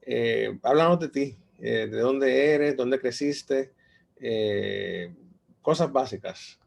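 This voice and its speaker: A man hosting a webinar and asking a guest questions, pausing with fillers. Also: medium pitch, a little mellow. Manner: slow, gravelly, with fillers. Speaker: webinar host. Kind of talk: interview questions.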